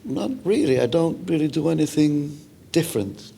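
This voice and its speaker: Mellow Gibraltarian man in casual conversation. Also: fairly high pitch, lively intonation, normal speed, vocal fry, slight background noise. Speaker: interview guest, singer. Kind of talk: casual chat.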